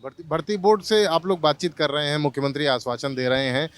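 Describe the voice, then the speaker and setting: A man's voice: fairly high pitch, steady tone, quick pace. A man working as a field reporter, putting probing, conversational questions to people in a street news interview.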